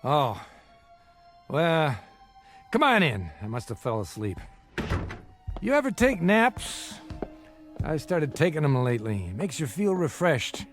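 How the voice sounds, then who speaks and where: An actor playing a worn-out, middle-aged small-time bookmaker who wheedles and flatters in folksy street talk, in a radio drama. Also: male voice, on the high side, measured pace, long pauses, faint music behind. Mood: cajoling, sheepish.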